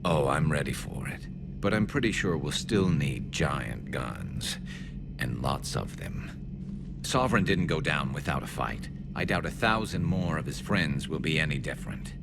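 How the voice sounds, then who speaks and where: A battle-weary alien soldier from a video game, with an extremely low, gravelly voice. He is loyal and speaks with dry, wry understatement. Heavy noise sits behind him. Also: animated, unhurried, heavily acted. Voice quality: deep gravelly voice. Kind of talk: video game character dialogue. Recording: loud background noise of a game scene.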